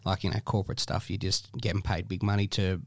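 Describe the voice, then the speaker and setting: Relaxed man, low voice. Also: quick pace, gravelly, no echo, studio quality. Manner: relaxed, laughs often, frequent fillers. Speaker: guest musician. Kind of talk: casual podcast chat.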